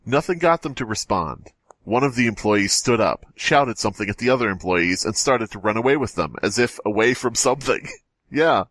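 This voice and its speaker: A man's voice: mid-range voice, lively intonation, moderate pace, fairly bright tone, dry recording. A man hosting a podcast, reading a horror story aloud and breaking off with joking asides. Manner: jokey, breaks off to comment. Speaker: podcast host.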